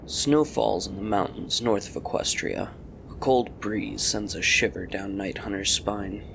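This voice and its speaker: A man's voice: on the low side, a little slow, creaky, fairly bright tone, a little hiss or hum, poor audio. A man reading scripted fantasy story narration as the narrator of an audio drama.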